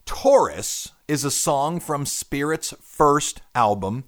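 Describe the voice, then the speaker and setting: A man's voice: on the high side, lively intonation, takes it slowly. A man hosting a rock music radio podcast, talking in a chatty, explanatory, lecture-like way. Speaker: radio show host. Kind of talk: casual talk radio.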